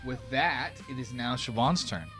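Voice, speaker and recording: A man's voice: medium pitch, normal speed, sharp tone, soft background music. A man narrating a tabletop roleplaying game as game master, in casual language with some swearing.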